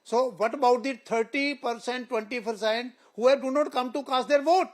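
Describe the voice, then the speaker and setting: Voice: high-pitched, moderate pace. A panel guest rebutting firmly with rhetorical questions. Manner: firm, rhetorical. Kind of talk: debate rebuttal.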